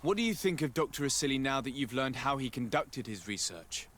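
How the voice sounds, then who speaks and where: An actor playing a composed, formal noble envoy who asks polite, pointed questions in a fantasy game. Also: male voice, medium pitch, big pitch swings, brisk, a bit bright, a little hiss or hum. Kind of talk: scripted fantasy game acting.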